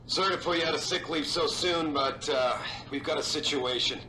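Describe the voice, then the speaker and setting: An actor playing a brisk, authoritative male company boss in a video game. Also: fairly high pitch, sharp tone, echoey room, a little hiss or hum, poor audio. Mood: urgent, businesslike. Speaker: boss giving orders.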